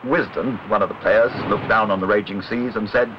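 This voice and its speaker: A man reading scripted documentary narration in a measured, literary, wry manner. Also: lowish voice, fairly even intonation, background noise. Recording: dull old film soundtrack, music behind.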